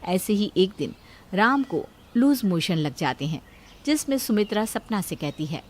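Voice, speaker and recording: A woman's voice: medium pitch, sing-song, moderate pace, a little mellow. A woman reading a scripted family story as a storyteller. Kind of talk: scripted Hindi story narration.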